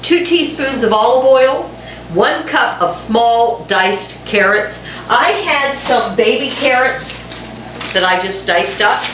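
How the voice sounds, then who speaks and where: A woman's voice: fairly high pitch, expressive, takes it slowly, noisy background. A woman with a head cold and a congested, nasal voice hosts a casual home cooking demonstration, chatting to viewers with self-deprecating asides. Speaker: cooking channel host. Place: home kitchen. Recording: echoey kitchen, mic at a distance. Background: frying sizzle and a dishwasher running.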